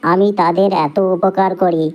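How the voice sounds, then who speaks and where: A woman's voice: lowish voice, fairly even intonation, unhurried, lo-fi sound, close to an everyday voice. A woman voicing an aggrieved, indignant talking spirit of field and tree in a cartoon fable. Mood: bitter, indignant. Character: aggrieved talking nature spirit, bitterly complaining witness.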